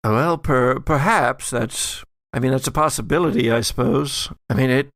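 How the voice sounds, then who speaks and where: A man voicing a hesitant, musing tattooed occultist in a tabletop audio drama, halting as he thinks aloud. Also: medium pitch, a bit bright, dry recording, studio quality, lightly acted. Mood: musing, unsure.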